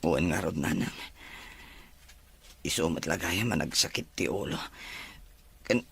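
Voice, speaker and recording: A man's voice: low-pitched, animated, unhurried, vocal fry, fairly bright tone, slightly rough, heavily acted. A man playing a grumbling, dismissive grown son and husband in a radio drama. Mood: weary irritated.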